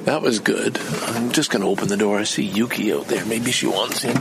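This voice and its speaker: A man's voice: on the low side, brisk, gravelly, very noisy. An older man from Canada in a rambling personal vlog, meandering and chatty with many restarts. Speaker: vlogger.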